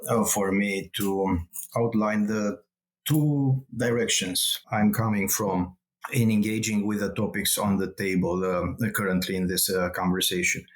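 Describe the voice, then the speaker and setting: Romanian-accented man, halting and measured. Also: on the low side, steady tone. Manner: measured, halting, many ums and uhs. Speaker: guest scholar. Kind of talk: academic interview answer.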